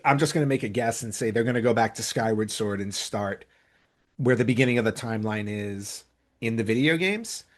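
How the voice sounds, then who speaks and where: A man's voice: mid-range voice, a bit bright. A man speaking as a podcast host in casual conversation, rambling and often rephrasing himself mid-sentence. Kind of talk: casual conversational podcast talk about entertainment news.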